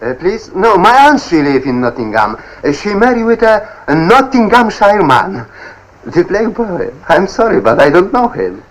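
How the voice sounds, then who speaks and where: An actor playing a foreign prisoner-of-war officer who speaks halting, broken English in a 1950s war film. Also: male voice, sing-song, rough recording. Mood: earnest, puzzled. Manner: broken, hesitant English with sudden high exclamations. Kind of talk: 1950s British film acting.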